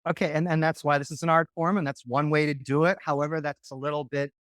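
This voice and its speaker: A man's voice: a little high, level tone, quick pace, a bit bright, clean tone, no room sound, studio quality. A man coaching on dating in a podcast interview, talking casually and often checking in with "right?".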